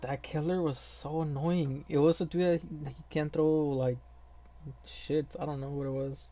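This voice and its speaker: A man's voice: fairly high pitch, steady tone, moderate pace, warm tone. A man chatting casually while gaming, in a slangy, rambling way.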